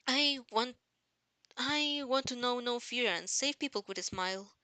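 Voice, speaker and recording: Earnest teenage boy, played by a woman, timid, pleading and anxious, bright raised voice for amateur story audio. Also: fairly high pitch, a little slow, no room sound, voice pushed up. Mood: eager.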